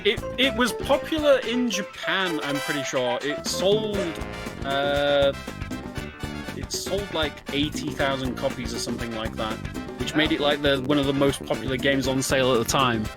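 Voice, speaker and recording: Hesitant man under loud game music. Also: medium pitch, normal speed. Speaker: speedrun co-commentator. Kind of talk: casual explanatory game commentary. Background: loud video game music with guitar.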